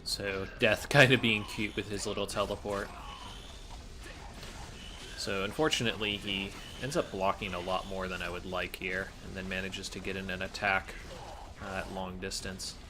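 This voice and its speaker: A man's voice: low-pitched, flat delivery, moderate pace, noisy background. A man giving casual, analytical gameplay commentary as a speedrunner, explaining his choices.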